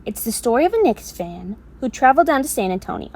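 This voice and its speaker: A woman's voice: on the high side, sing-song, fast talker, darkish tone. A woman acting in an audio drama as an exasperated storyteller, telling a mocking fable in a theatrical way. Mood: dramatic storytelling.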